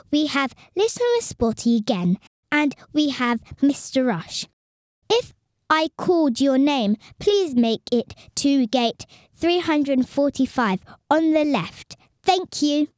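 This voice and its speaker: A woman voicing a formal, deliberate competition announcer in a storybook reading. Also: sits high, big pitch swings, no room sound, clean studio sound, full character voice. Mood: official, polite. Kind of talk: storybook announcement, read aloud.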